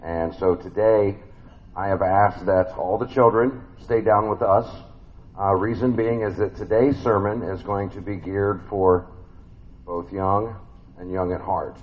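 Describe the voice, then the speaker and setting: A man's voice: on the low side, steady tone, unhurried, lo-fi sound. A man with a big voice preaching a family sermon in a church, conversational and folksy, with many fillers and restarts.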